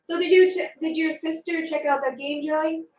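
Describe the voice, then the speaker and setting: Woman, high voice, goofy and chatty, roomy echo, away from the mic. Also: steady tone, moderate pace, recorded from a distance. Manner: playful, loud, goofy, chatty. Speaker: friend keeping the host company. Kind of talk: casual chat.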